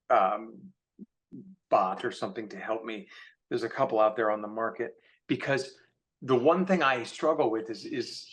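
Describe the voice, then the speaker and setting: A man's voice: medium pitch, fairly bright tone. Self-deprecating man chatting casually as guest. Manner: self-deprecating, joking, rambling. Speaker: author guest. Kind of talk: casual conversation.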